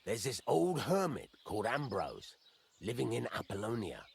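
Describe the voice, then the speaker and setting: An actor playing a gruff, fatherly middle-aged master blacksmith in a medieval video game, blunt and folksy, teasing as he talks. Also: mid-range voice, sing-song, moderate pace, a little mellow, a little hiss or hum. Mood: confiding. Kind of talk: video game dialogue acting.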